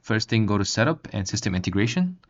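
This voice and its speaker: A man narrating a step-by-step software tutorial as its host, on a dull, lo-fi recording. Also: fairly low pitch, big pitch swings, fast talker. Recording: dull, lo-fi microphone sound.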